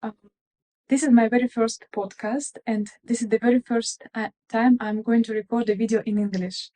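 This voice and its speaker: A woman's voice: a little high, steady tone, normal speed, mellow. A woman in her thirties from Russia with a Russian accent, chatting in a friendly, slightly shy way about her crafts, with frequent fillers. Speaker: crafting vlogger. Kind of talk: casual crafting video chat.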